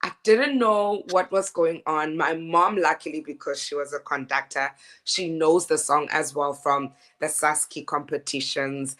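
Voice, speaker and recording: A woman with a deep speaking voice, an opera singer telling her story in a lively, laughing, stop-start way. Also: unhurried. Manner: animated, laughing storyteller with frequent restarts. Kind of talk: casual interview chat.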